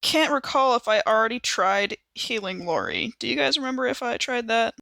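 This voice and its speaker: Bright, playful woman in casual chat. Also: a little high, moderate pace, gravelly, no echo. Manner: playful, expressive, teasing. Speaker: player on an actual play podcast.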